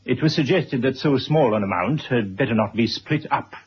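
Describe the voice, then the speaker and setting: Husky, courteous elderly gentleman. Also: medium pitch, animated, normal speed. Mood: earnest, gentle. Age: older. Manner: polite, precise, gently fussy. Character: courteous, fussy elderly retired man writing a memoir. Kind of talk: 1970s radio drama acting. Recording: dull vintage radio recording.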